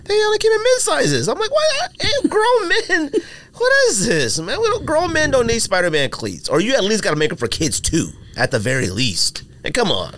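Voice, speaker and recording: A man's voice: extremely high pitch, wildly swooping pitch, moderate pace, a bit bright. A man co-hosting a sneaker podcast, talking in a casual, joking way and laughing often. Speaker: podcast co-host. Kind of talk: casual chat.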